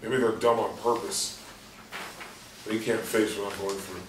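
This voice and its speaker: A man's voice: on the low side, little pitch movement, unhurried, lightly acted. A man in a staged play reading, playing a despairing, sardonic hospital patient who broods and questions himself in coarse language. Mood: bitter, brooding. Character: bitter, despairing, sardonic male hospital patient trapped in his body. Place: live stage reading in a theatre room. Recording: roomy stage, distant mic, faint noise.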